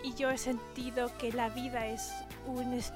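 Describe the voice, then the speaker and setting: High-voiced woman, music behind. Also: flat delivery, a little slow. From Mexico and the UK. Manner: rambling, many restarts and fillers. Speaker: illustrator guest. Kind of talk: casual interview answers.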